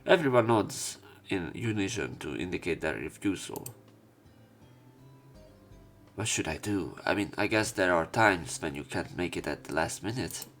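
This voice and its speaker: Low-voiced man reading over game music. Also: animated, normal speed, hardly any pauses, fairly bright tone. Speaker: let's play narrator. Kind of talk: reading visual novel text aloud with commentary.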